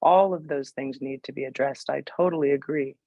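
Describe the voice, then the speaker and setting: A former clinician, a woman with a hoarse voice, speaking in a measured, agreeable way in an online meeting. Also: a bass voice, dark tone, no echo, muffled recording. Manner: measured, agreeable, with many fillers. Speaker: former clinician on a global health team. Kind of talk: video call meeting discussion. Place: home room indoors.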